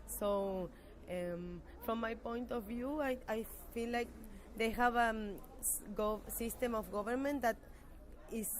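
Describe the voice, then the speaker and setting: A woman's voice: a little high, takes it slowly. A young adult woman with an Argentine accent, giving hesitant, thoughtful answers in an informal interview. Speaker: master's student interviewee.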